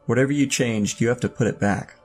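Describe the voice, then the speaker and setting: An actor playing an enigmatic, commanding older robed man in an audio drama, with a gravelly voice and a solemn, cryptic, measured delivery. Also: medium pitch, faint music behind. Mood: grave, urgent.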